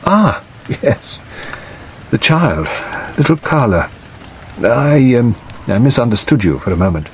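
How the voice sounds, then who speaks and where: A man voicing a weak, hesitant middle-aged gentleman in an audiobook, plaintive and wistful. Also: medium pitch, voice pushed up. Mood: troubled, hesitant. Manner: hesitant, halting, self-qualifying. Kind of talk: dramatised audiobook reading. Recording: dull audio under steady rain noise.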